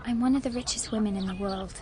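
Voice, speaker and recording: A woman's voice: mid-range voice, fast talker, noisy background, lightly acted. A young woman acting a sweet, devoted, earnest heiress in a radio play. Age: young adult.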